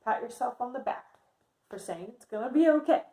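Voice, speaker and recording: A woman's voice: on the high side, lively intonation, a little fast, warm tone. A woman teacher giving a read-aloud lesson to young pupils, speaking simply and encouragingly with frequent questions. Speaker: teacher reading aloud to children. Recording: roomy, echoey indoor sound.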